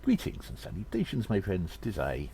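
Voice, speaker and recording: A man voicing a courtly gnome who narrates an audio drama in a theatrical, old-fashioned, husky, gravelly manner. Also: sits low, wildly swooping pitch, moderate pace, warm tone, slight background noise, full character voice. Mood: grandly theatrical. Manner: theatrical, formal, old-fashioned greeting style.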